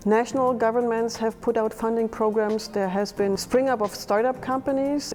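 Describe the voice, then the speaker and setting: A middle-aged woman, a physics professor and project coordinator, explaining in a measured way in a documentary interview. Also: medium pitch, a little mellow.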